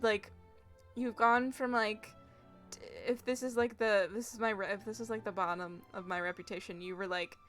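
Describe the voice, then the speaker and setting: Chatty, rambling woman streamer. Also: fairly high pitch. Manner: chatty, rambling, laughs often. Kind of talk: casual live game commentary. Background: game music and keyboard typing.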